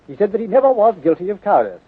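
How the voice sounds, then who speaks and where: An actor playing a hearty, eager, slightly awkward upper-class British gentleman in a 1930s radio drama. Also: sits high, sing-song, rapid-fire, husky, exaggerated voice. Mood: earnest. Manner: hearty, old-fashioned exclamations. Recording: thin tinny 1930s radio recording.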